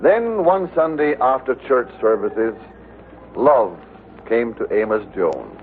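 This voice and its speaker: A genial, polished narrator for a vintage radio comedy. His scripted delivery sits at a middle pitch. The sound is narrow, with faint hiss. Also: very animated, slow pace. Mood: narrating. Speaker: announcer-narrator.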